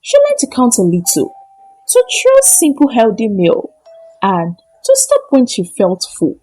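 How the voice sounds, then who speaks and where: A woman narrating a scripted moral folktale in short, deliberate, dramatic phrases. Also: on the high side, huge pitch swings, dark tone, soft background music, poor audio. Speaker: storyteller.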